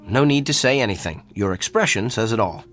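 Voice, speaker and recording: A man's voice: fairly low pitch, lively intonation, brisk, fairly bright tone, soft background music. An actor in a radio drama playing an earnest male doctor with an uncanny gift for diagnosis. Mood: wry, confident.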